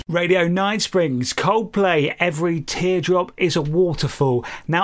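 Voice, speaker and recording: A British man presenting a music radio show in a chatty, jokey, self-deprecating way. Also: fairly high pitch. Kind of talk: music radio links with light anecdotes.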